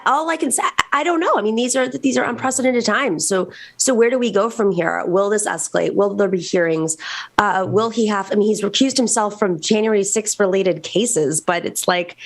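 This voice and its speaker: An American woman speaking off the cuff as a political panelist, often halting and repeating words as she forms her thoughts. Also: medium pitch. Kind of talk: unscripted political discussion.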